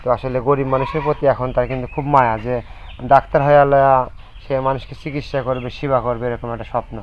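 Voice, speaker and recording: A Bangladeshi man presenting an informal charity appeal and interview on location, speaking in a halting, repetitive way. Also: fairly even intonation. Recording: muffled low-fi audio, background noise, bleating animals.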